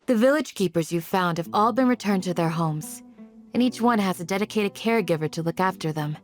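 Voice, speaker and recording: A woman's voice: fairly low pitch, a little fast, faint music behind, close to an everyday voice. An actress playing a helpful, composed young woman with a polite, orderly manner in a video game. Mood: reassuring. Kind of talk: English video game dub.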